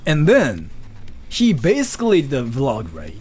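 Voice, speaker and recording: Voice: medium pitch, huge pitch swings, unhurried, darkish tone, husky. A native Japanese speaker with a Japanese accent, chatting casually with livestream viewers as the host in a self-deprecating, apologetic way.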